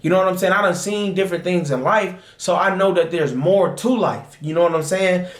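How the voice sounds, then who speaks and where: A man's voice: high voice, fairly quick, reverberant. A man, talking casually and passionately as a guest, often asking "you know what I'm saying". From Dayton, Ohio, USA. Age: 20s. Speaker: guest, host's husband. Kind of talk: casual chat.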